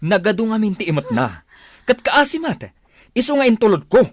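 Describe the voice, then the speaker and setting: Radio drama role: fed-up Ilocano husband played by a man, high-pitched, animated and pleading, closely recorded dry sound. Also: brisk, no echo, heavily acted. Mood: defensive. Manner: pleading, exasperated. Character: exasperated husband worn down by jealous wife. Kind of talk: melodramatic radio drama acting.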